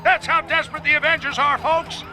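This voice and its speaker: A high snarky news reporter. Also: male voice, fairly even intonation, a little fast, over music. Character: excitable male news reporter mocking heroes.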